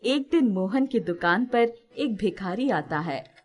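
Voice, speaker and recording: A woman's voice: mid-range voice, lively intonation, unhurried. A woman narrating a scripted moral story as its storyteller.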